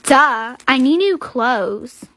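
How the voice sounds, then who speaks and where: A woman's voice: high voice, lively intonation, takes it slowly, fairly bright tone, heavily acted. A woman voicing a spoiled, bossy teenage stepsister with a dismissive, whiny manner.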